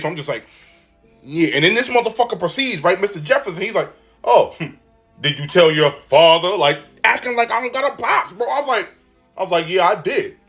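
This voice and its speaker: A man telling a story in casual, slangy, animated style, often checking in with the listener. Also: sits high.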